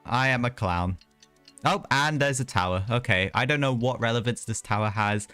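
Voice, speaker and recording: A man's voice: medium pitch, moderate pace, pushed and bright, clean tone, no room sound. A man livestreaming a video game, giving casual, chatty commentary with rambling, self-mocking asides. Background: game music.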